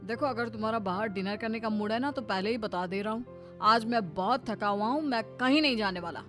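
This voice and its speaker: A woman's voice: a little high, brisk, over music, lightly acted. A woman voicing a tired, dismissive young husband in a cartoon story, speaking in an exasperated, defensive manner. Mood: weary, puzzled.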